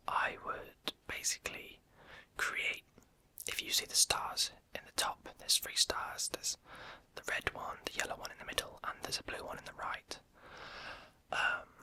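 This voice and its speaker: A young adult YouTube creator whispering through an ASMR question-and-answer video, rambling and hesitant with many fillers. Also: pushed and bright.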